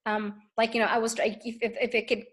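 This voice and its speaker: A woman's voice: fairly high pitch, little pitch movement, quick pace. A woman from Tunisia whose first language is Arabic, a teacher talking casually in English with many restarts and fillers. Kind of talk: casual conversation.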